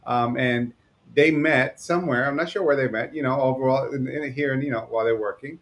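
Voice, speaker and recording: A man from New York who owns a digital agency, talking fast and rambling in a casual chat. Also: mid-range voice. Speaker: digital agency owner, co-host.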